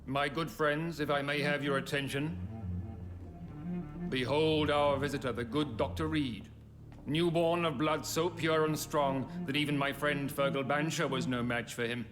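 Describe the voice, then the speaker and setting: A man's voice: a little high, fairly even intonation, natural voice. Man acting a pompous British vampire lord. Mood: grandly welcoming. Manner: pompous, courtly, measured, condescending. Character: haughty, silky, centuries-old aristocratic vampire lord. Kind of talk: video game voice acting. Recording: orchestral music behind.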